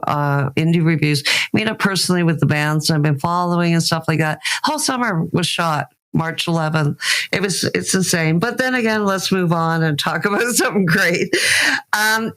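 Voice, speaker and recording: A woman hosting a music show, chatting enthusiastically as she interviews guests. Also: lowish voice. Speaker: radio show host and indie music reviewer.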